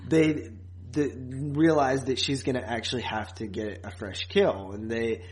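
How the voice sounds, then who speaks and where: A man's voice: mid-range voice, steady tone, measured pace, a little hiss or hum. A man co-hosting a podcast, recapping a TV series in casual, rambling, informal talk. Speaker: podcast co-host.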